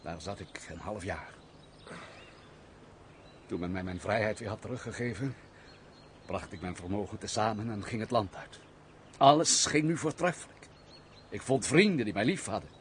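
An actor playing a wounded, gruff nobleman who shuns mankind, speaking formally and theatrically in a radio drama. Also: fairly low pitch, lively intonation, moderate pace, pauses often, a little hiss or hum, natural voice. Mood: wistful. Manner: formal, theatrical, sorrowful storytelling. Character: bitter, wounded nobleman turned gruff reclusive misanthrope. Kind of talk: old radio drama acting.

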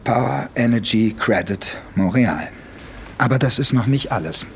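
A man's voice: medium pitch, animated, moderate pace, a little hiss or hum, natural voice. An actor playing a male police investigator in a radio drama, explaining things methodically. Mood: explanatory, assured.

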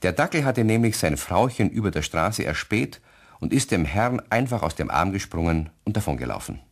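A man's voice: sits low, sing-song, moderate pace, heavily acted. A man narrating a scripted children's story in a German radio play, speaking vividly to young listeners. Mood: calm telling. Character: male storyteller addressing children.

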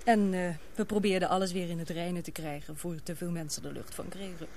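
A woman's voice: lowish voice, steady tone, brisk, a little mellow, close to an everyday voice. Woman acting an earnest young lady in a radio play, hesitant, full of questions, slightly noisy. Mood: concerned.

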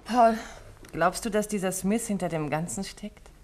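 A woman acting as a sleuth's wife in a 1950s radio play. She is poised and playfully teasing, at a medium pitch, with faint noise on the recording. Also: moderate pace, a little mellow, natural voice. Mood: curious, teasing.

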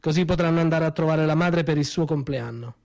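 A man performs a spoken theatre monologue, pausing for weight between phrases. His voice sits a bit high for a man, yet the tone stays soft and mellow rather than bright. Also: vocal fry, no room sound, clean studio sound. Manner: measured, grave storytelling with dramatic pauses. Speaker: storyteller.

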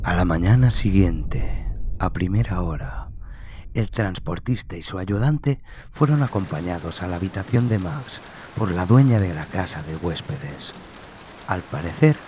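A man narrating a scripted horror story in a measured, suspenseful manner with dramatic pauses. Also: low voice, slight background noise. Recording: loud music under the voice. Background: loud music bed.

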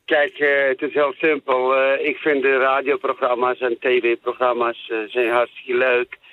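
A man calling a radio show to give his opinion, speaking haltingly as he searches for words. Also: medium pitch, completely flat delivery, no room sound. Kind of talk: casual phone-in opinion. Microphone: telephone. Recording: narrow tinny phone line.

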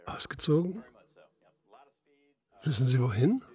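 An actor playing a grieving, troubled middle-aged father in a naturalistic radio drama, speaking in a halting, subdued way. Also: medium pitch, sing-song, dark tone, slightly rough, exaggerated voice. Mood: puzzled.

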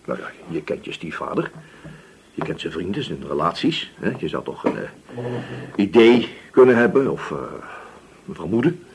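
An actor playing a male police inspector in a radio drama, questioning patiently but insistently with a slightly husky voice and deliberate pauses. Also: low voice, sing-song, slight background noise. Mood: probing, patient. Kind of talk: Dutch radio drama interrogation acting.

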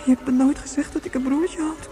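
Woman as an anxious high-voiced little boy in echo. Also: steady tone, a little fast, dark tone, close to an everyday voice. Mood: hurt, pleading. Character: anxious, hurt young boy pleading with his parents. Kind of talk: Dutch radio drama acting. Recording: echoey reverberant hall, music behind.

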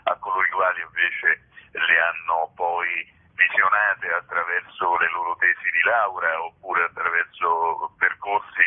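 A man speaking as a radio guest, explaining a project in measured, formal wording. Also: low-pitched. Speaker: activist, guest presenting an archive project. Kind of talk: formal explanatory phone interview. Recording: narrow muffled phone line.

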